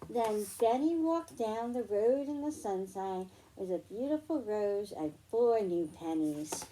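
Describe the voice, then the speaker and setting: A woman's voice: medium pitch, lively intonation, a little slow, dark tone, slight background noise, rough recording. A woman hosting a children's library storytime, reading aloud and explaining things in simple phrases for young listeners.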